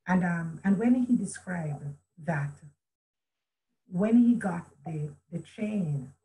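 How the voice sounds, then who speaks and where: Low-voiced woman telling a story. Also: lively intonation, takes it slowly, warm tone, poor audio. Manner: earnest, reflective, with many restarts and quoted speech. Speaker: author and guest. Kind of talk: storytelling in a video call interview. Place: room at home with a chandelier. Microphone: video call webcam.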